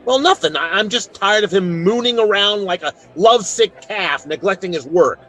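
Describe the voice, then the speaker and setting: Plainspoken Western marshal, lively pitch. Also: male voice, moderate pace, faint music behind. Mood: flustered, amused. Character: male frontier marshal, plainspoken, authoritative, fair-minded. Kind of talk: old-time radio western acting.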